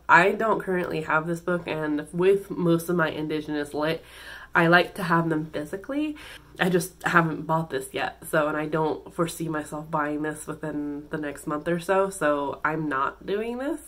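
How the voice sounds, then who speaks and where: A woman's voice: on the low side, a bit bright. Congested woman chatting about books. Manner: chatty, lots of ums, restarts herself. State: congested from a cold. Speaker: book channel host. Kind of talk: casual book recommendations.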